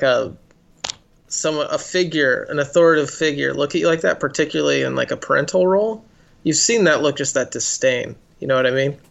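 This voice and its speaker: Casting: male podcast co-host, highish gravelly voice, loose jokey film chat. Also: highs cut off. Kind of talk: casual, joking film chat.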